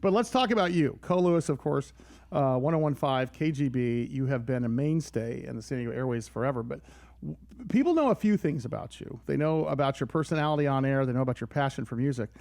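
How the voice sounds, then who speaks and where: A man's voice: medium pitch, animated, quick pace, vocal fry, a little mellow. A middle-aged American man hosting a conversational radio interview, speaking in an informal, effusive way. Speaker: radio show host.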